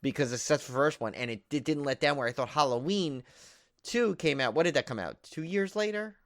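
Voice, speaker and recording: A man co-hosting a horror movie podcast, talking casually and with enthusiasm in a halting, rambling way as he keeps correcting himself. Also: fairly high pitch, fairly bright tone, dry recording, studio quality. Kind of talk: casual film discussion.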